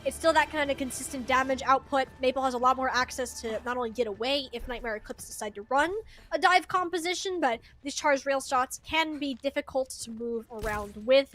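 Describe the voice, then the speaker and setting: A woman doing live esports commentary as a shoutcaster, with playful, chatty banter. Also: high-pitched. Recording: music behind the voice. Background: music.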